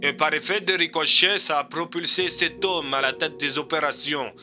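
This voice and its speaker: A man's voice: a little high, fairly even intonation, normal speed, pushed and bright, soft background music, voice pushed up. A man playing a dutiful male police officer reporting to his superior in an audio drama. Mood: dutiful, serious.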